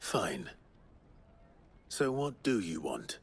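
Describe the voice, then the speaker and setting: An actor in a cinematic video game playing a stoic, determined traveller, blunt, measured and level-headed. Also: male voice, low voice, sing-song, slightly rough, exaggerated voice. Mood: resigned, terse.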